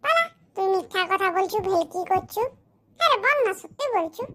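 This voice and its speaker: A performer plays an incredulous cartoon villager with a very high, squeaky voice. He keeps asking questions and doubting what he hears. A faint hiss runs underneath. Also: very animated, normal speed, heavily acted. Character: curious, skeptical village man, the friend. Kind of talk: cartoon comedy voice acting.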